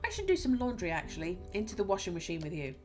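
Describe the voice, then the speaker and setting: A woman's voice: on the low side, huge pitch swings, fast talker, a little mellow, faint music behind. A woman with a stuffy nose reading aloud the inner thoughts of a cold, fear-hungry young musician in a game.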